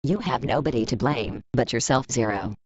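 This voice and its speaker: A man's voice: medium pitch, slight background noise, lightly acted. Man acting a cold, taunting villain, muffled sound. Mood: scornful. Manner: condescending, menacing, deliberate. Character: cold, taunting, possessive villain scorning a rival. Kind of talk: dramatic audio drama dialogue. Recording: dull, muffled close dry recording.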